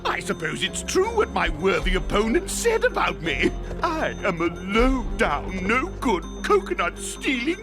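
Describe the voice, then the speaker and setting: A man's voice: high-pitched, wildly swooping pitch, a little slow, over music, exaggerated voice. A man voicing a scheming, pompous crocodile tyrant in a cartoon, with theatrical grandeur and oily mock politeness. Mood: gleefully wicked. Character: scheming, pompous cold-blooded crocodile tyrant, grown male villain. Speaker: cartoon villain. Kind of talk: theatrical animated-series villain acting.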